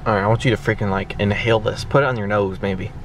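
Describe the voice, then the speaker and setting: A man's voice: lowish voice, fairly even intonation, fast talker. A young American man in casual, slangy, joking chat inside a car. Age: young adult. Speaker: prank vlogger. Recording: very noisy in-car camera sound. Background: loud car noise.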